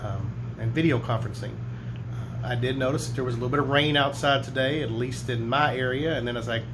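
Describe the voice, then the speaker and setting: Halting man in a noisy casual announcement. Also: mid-range voice. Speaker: school staff member introducing a reader. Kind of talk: casual video announcement to students. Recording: noisy, steady background hum.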